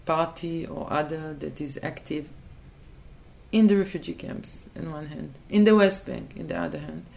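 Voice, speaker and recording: A woman's voice: low-pitched, very animated, moderate pace, vocal fry, darkish tone. A woman from Israel, answering in a thoughtful interview and hesitating and restarting as she looks for words. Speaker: interview guest.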